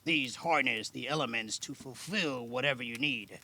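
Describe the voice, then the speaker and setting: Weathered fantasy veteran , male actor: gravelly, bright-edged voice, modest and measured, amateur audio drama. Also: medium pitch. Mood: explaining. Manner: measured explaining with thoughtful hums. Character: Alan, weathered veteran male caster, patient, modest, wryly guarded.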